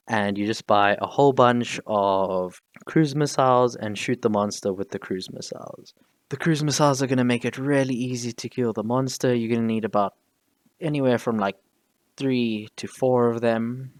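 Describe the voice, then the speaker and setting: A man's voice: mid-range voice, no echo. A man giving casual, instructional voice-over commentary for a video game walkthrough, close on the mic. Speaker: gaming tutorial narrator.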